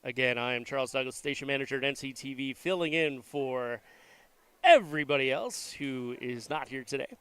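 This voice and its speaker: A man's voice: medium pitch, fairly quick, a bit bright, clean tone, no echo. A man with a congested voice, just over a flu, giving easygoing live commentary on a school volleyball game from a gymnasium. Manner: easygoing, self-deprecating. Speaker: play-by-play announcer and station manager.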